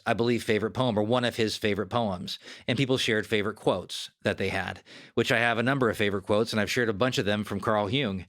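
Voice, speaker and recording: A man's voice: on the low side, a bit bright. A man hosting a self-help podcast, speaking in an earnest, conversational coaching manner straight to the listener. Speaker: podcast host.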